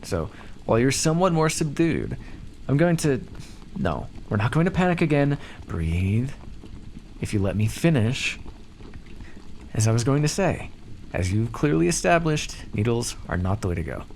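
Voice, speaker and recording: Guy doing a smug vampire captor for asmr, gravelly, swoopy pitch, patronizing and coaxing. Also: very animated, normal speed, pauses often, darkish tone, a little hiss or hum. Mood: dry, grudging. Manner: teasing, patronizing, coaxing, hesitant stammers. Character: calm, condescending male vampire captor and experimenter. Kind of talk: ASMR roleplay, scripted monologue.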